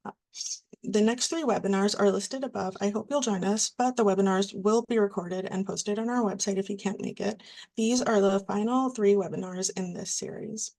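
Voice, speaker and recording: A woman presenting a webinar, reading from slides in a steady, scripted way. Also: medium pitch, moderate pace, creaky, poor audio.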